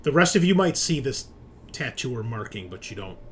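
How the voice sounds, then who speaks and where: A man hosting as game master, improvising narration and chat in a deliberate, suspenseful storyteller's way. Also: medium pitch, very animated, a little hiss or hum.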